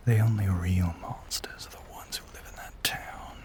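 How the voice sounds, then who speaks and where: A man playing a lonely, brooding Southern gentleman vampire in an intimate audio roleplay, with a Southern US accent, a breathy voice and genteel, old-fashioned phrasing. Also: sits low, steady tone, normal speed, close to an everyday voice. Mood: dismissive, weary. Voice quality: breathy, whispery.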